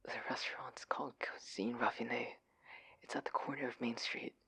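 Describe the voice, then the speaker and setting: A man playing an anxious, adorkable husband in an intimate audio roleplay, speaking in a breathy, pleading manner. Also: on the low side, normal speed, a little mellow. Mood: panicked whispering. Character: adorkable, anxious, oblivious husband, affectionate and flustered.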